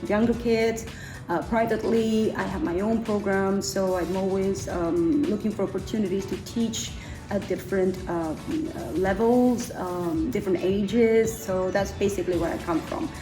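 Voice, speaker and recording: A woman from Argentina who teaches Spanish, talking in a lively, chatty way. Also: medium pitch, moderate pace. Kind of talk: interview clip. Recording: echoey room with music bed.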